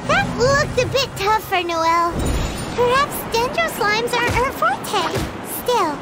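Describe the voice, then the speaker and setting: A woman voicing a tiny, perky, childlike fairy companion with a squeaky voice in an anime-style video game. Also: lively intonation, unhurried, pushed and bright, heavily acted. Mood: observant. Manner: perky, bubbly commentary. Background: game music.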